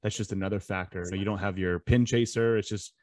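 Male podcast host, lowish voice, dry close sound, encouraging interjections. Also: fairly even intonation, fast talker, darkish tone, no echo. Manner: friendly, frequent affirming murmurs. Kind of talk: casual interview.

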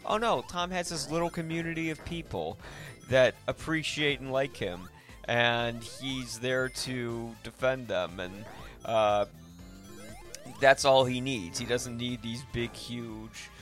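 A man's voice: mid-range voice, slow, fairly bright tone, soft background music. A man hosting a podcast, talking casually about films in a rambling, thinking-aloud way. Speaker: podcast host. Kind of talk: casual film discussion.

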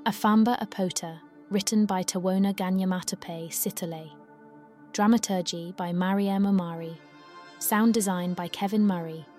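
A woman's voice: medium pitch, steady tone, moderate pace, darkish tone. Woman reading credits over faint music. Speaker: credits reader. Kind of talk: scripted credits announcement.

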